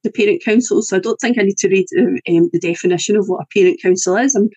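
A woman's voice: mid-range voice, fast talker, mellow, breathy edge, dry recording, lo-fi sound. A woman with a Scottish accent, an English-language teacher, giving an online presentation over a video call, often hesitating with fillers and restarts. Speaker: EAL teacher.

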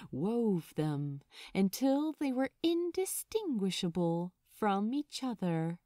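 A woman narrating a story in a dramatic, deliberate way, with emphatic pauses. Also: a little high, mellow, no echo, clean studio sound. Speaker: audiobook narrator of fan fiction. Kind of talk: expressive scripted story narration.

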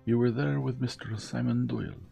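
A man voicing a gentle, fastidious elderly foreign detective who speaks in a measured, formal, persuasive way. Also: medium pitch, fairly even intonation, creaky, faint music behind, voice pushed up. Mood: earnest.

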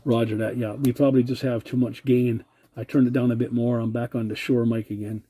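A man's voice: medium pitch, level tone, a little fast, mellow, husky. A man making a CB radio contact, polite and using radio procedure words. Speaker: CB radio operator.

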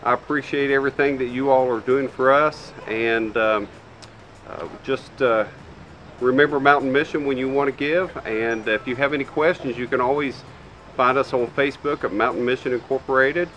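A middle-aged man gives a grateful, plain-spoken thank-you speech outdoors, caught by the camera mic. His voice sits at a middle pitch over faint outdoor noise. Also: fairly even intonation, moderate pace, a bit bright, muffled recording. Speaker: charity representative. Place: outdoors on a porch.

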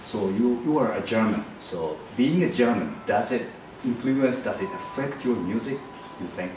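A man's voice: fairly low pitch, lively intonation, moderate pace. A man interviewing a musician, curious, asking questions and giving frequent short affirming murmurs. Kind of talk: casual interview. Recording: echoey room from far off with clear noise.

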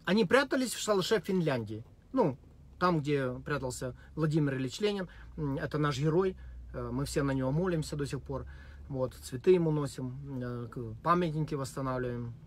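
A man giving a deadpan, mock-serious spoken monologue with many hesitations and fillers. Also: fairly high pitch, moderate pace. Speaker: vlogger.

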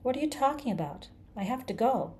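A nervous man acted by a woman narrator in a storybook, pitched up in his fluster. He is apologetic yet insistent, anxiously pleading to get away. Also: animated, a little fast, mellow, lightly acted. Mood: baffled denial. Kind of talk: dramatized audiobook character.

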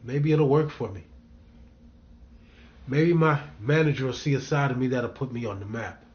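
A male actor playing a bitter, troubled middle-aged man with hidden darkness, in a brooding dramatic manner with deliberate pauses. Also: mid-range voice, darkish tone, dull audio, close to an everyday voice. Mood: menacing, reflective. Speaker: actor performing a monologue and scene reel. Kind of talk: dramatic screen acting, monologue and scene.

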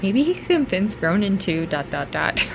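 A woman's voice: mid-range voice, big pitch swings, normal speed. Young woman, American accent, casual vlog chat, reflective, informal, faint room noise behind. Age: young adult. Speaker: book vlogger. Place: bedroom at home, indoors. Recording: clear ambient room noise.

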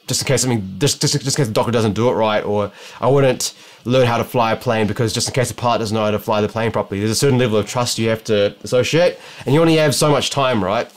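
A man's voice: medium pitch, fast. A young man with a New Zealand accent, an optometrist talking to the camera from his living room in a casual vlog, musing and rambling with many restarts. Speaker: optometrist vlogger. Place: home living room, indoors.

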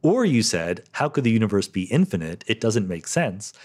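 A man's voice: lowish voice, very animated, moderate pace, darkish tone. A middle-aged man hosting a podcast, answering listener questions in a casual, thoughtful way, often correcting himself mid-sentence. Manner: thoughtful, self-correcting, lightly laughing. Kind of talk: casual solo Q&A explanation.

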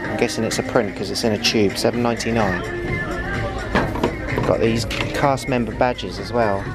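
A man's voice: fairly low pitch, fairly even intonation, normal speed. A man hosting a casual shopping vlog, narrating from behind a handheld camera as he browses. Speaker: vlog host. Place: busy retail store indoors. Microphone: handheld camera, operator behind it. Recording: loud store music and crowd noise. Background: loud music and shopper crowd.

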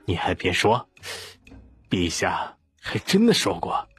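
Elder-brother prince, voiced by a man, deliberate and pensive, quick to exasperation, low register, story recording. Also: huge pitch swings, a bit bright, breathy edge, soft background music, exaggerated voice. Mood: musing.

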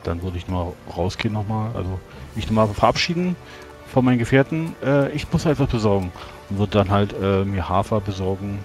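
A man with a fairly low voice takes part in a tabletop role-playing session. He speaks improvised dialogue as his character, with music playing faintly underneath. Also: lively intonation, brisk.

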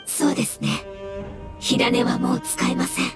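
A woman voicing a meek, sorrowful, polite elemental creature in an anime game, speaking softly. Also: moderate pace, lightly acted. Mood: pleading. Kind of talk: Japanese anime game voice acting. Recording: loud music behind the voice.

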